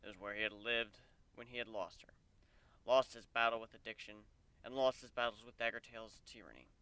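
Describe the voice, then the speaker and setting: A man narrates fiction as a dramatic reading. His voice is bright and clear, at a middling pitch, recorded close and dry. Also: a little fast, no room sound. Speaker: narrator, fan fiction reader.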